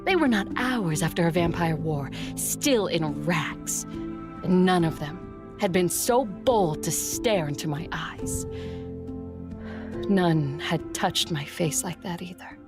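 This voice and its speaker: A woman playing an ancient, imperious and guarded vampire ruler in an audio drama, speaking in a measured, wry way. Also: on the low side, animated, over music. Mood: haughty, irritated. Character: imperious two-thousand-year-old female vampire ruler, wry, guarded. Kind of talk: audio drama acting, first-person narration and dialogue.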